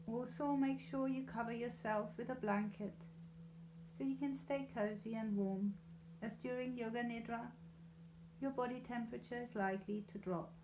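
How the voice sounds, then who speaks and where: A woman leading a guided yoga nidra meditation in a soothing, unhurried, gently reassuring way. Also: a little high, steady tone, background noise. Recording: slightly dull, echoey room sound.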